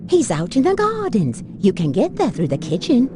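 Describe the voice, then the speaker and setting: A woman's voice: a little high, huge pitch swings, normal speed, background noise. An actress playing a motherly, gossipy older servant woman, speaking wistfully in old-fashioned phrases in a game cutscene. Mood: helpful. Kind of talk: dramatic game cutscene acting.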